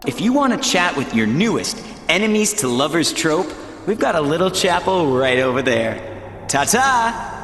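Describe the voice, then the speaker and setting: Game host: high male voice, flippant schemer, sing-song animation, echoing hall with noisy ambience. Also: sing-song, moderate pace, a bit bright. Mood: glib, breezy. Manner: breezy, flippant, theatrical. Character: glib, scheming supernatural reality show host. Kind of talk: cartoonish video game acting. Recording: very echoey hall sound, faint ambience.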